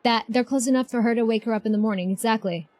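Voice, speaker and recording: High-voiced, effusive woman streamer. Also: very fast, darkish tone, no echo. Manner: effusive, repeats herself, talks back to characters. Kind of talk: casual live game reaction chat. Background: game music.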